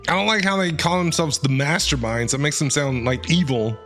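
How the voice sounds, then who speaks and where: An excitable male streamer reacts casually to a game. His voice is fairly high and very lively, with a forceful bright edge. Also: a little fast, soft background music. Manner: excitable, exclamatory. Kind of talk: casual live gameplay reaction commentary.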